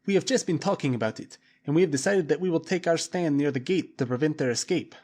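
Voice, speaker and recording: A man's voice: on the high side, fast talker, a little mellow, pitched above the performer's own voice, lightly acted. A man voicing a cold, curt Russian man.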